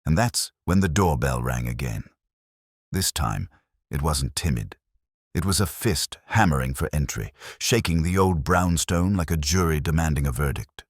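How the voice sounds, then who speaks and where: A man's voice: rumbling low, animated, moderate pace, breathy edge, no echo, studio quality. A man reading a first-person hardboiled detective story with a wry, wisecracking delivery. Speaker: narrator.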